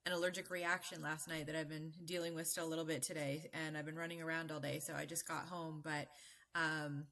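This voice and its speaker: A woman's voice: low voice, little pitch movement, brisk, a bit bright. A woman hosting a casual live stream, a little stuffy and congested, chatting and greeting viewers by name with frequent ums. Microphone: streaming mic.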